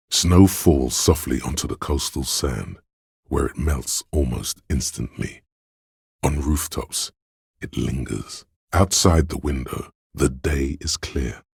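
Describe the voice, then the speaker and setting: The measured inner voice of a detective's reasoning in a video game. It is extremely low and husky, with a heavy creak. The narration is precise and literary, addressed straight to the player. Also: male voice, dry recording, clean studio sound, full character voice. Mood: hushed, contemplative. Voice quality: very deep, gravelly, husky. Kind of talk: scripted game narration in second person.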